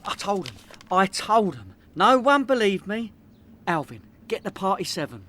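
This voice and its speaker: A man plays a hapless London petty crook with a high voice. He is a cocky, excitable dreamer in a radio comedy, naive under all the boasting. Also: moderate pace, a little hiss or hum, full character voice. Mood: excited, boastful. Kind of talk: comedy radio play acting.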